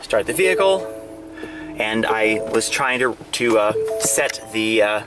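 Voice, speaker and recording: A man giving a casual, halting show-and-tell of a hobby setup, pausing and restarting as he explains. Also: on the low side, lively intonation, over loud music. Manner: hesitant, halting, fragmented delivery. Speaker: amateur radio hobbyist demonstrating his setup. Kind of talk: casual demonstration vlog. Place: outdoors and in a parked vehicle in a residential neighborhood.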